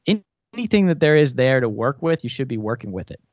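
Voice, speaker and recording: A man's voice: medium pitch, very animated, fast talker, dry recording. A man who grew up in Thailand, a podcast guest, talking politics casually and rambling with many restarts. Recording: dull lo-fi, dry sound.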